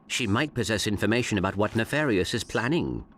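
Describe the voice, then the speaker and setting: A man voicing a small, polite, literal-minded robot sidekick with formal, measured phrasing in a cartoonish video game. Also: lowish voice, animated, fairly bright tone, slight background noise. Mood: quizzical, prim.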